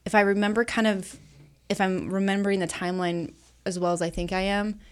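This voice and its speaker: A woman's voice: mid-range voice, little pitch movement, fairly quick, clear, resonant tone. A woman guesting on a casual film discussion, speaking candidly and conversationally in a mid-range voice. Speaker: guest co-host.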